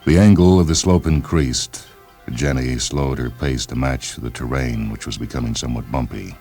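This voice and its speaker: A man narrating a science fiction short story in a measured, literary storytelling manner. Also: a bass voice, a little mellow. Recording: music behind the voice.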